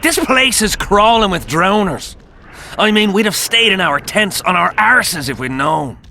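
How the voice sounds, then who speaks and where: An actor playing a jittery, coarse common soldier in a video game, loud and agitated. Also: male voice, high voice, lively intonation, measured pace, vocal fry, slight background noise, heavily acted. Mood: frightened.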